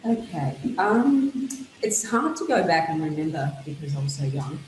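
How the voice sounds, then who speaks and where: A woman's voice: deep voice, sing-song, moderate pace, a little mellow, roomy sound, slight background noise, rough recording. A woman author, whose was Greek, thoughtfully recalling her childhood in an interview. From Australia. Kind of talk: reflective interview answers.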